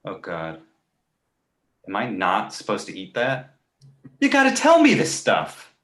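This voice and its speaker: A man voicing a cheeky, crude talking baby boy in an animated comedy table read, sarcastic and blunt. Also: on the low side, unhurried, heavily acted. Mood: indignant. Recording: roomy echo.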